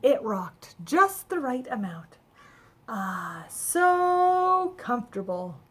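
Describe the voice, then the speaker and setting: Casting: woman to play a little storybook snowman, cheerful and naive, high voice with a slight rasp, chatty and wide-eyed. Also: very animated, very slow, full character voice. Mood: delighted, chatty. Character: cheerful, naive, friendly little male snowman telling his adventure. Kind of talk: children's storybook read-aloud.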